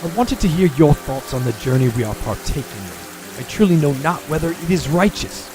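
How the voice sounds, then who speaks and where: A man's voice: a little high, very animated, fairly quick, over music. An actor playing a worried, noble king in a fantasy audio drama, speaking in formal archaic phrases. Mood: courteous, reflective.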